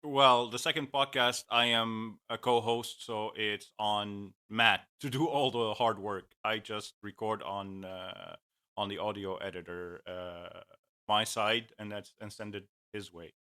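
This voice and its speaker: A man's voice: lowish voice, fairly even intonation, unhurried, bright, forceful tone, clear, resonant tone. A man co-hosting a casual tech podcast, talking hesitantly with many fillers and frequent laughs. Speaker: podcast co-host.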